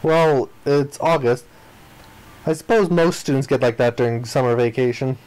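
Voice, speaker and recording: A man reading the narration and dialogue of a visual novel aloud in an expressive style. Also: mid-range voice, normal speed, smooth, poor audio.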